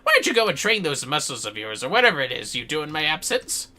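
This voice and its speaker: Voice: medium pitch, big pitch swings, fast, bright, forceful tone. A game streamer voicing a pompous, self-important male inventor from a fantasy game, with haughty, florid, dismissive delivery. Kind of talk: theatrical read-out of game dialogue.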